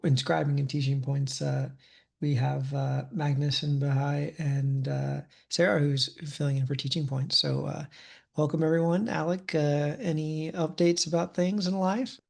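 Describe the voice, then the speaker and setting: A man opens a medical teaching session in a relaxed way, pausing often on fillers. His delivery is flat, with a gravelly edge. It sounds like he is on a video call. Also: a little high. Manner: relaxed, many filler pauses. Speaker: co-host of a case conference. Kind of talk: casual show opening.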